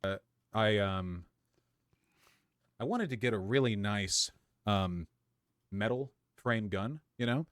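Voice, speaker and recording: A man talks casually to his stream in a mid-pitched voice with a sharp, bright edge. His delivery is hesitant and halting. The recording is dry and close. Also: animated, no echo. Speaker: streamer showing his belongings. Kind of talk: casual livestream chat.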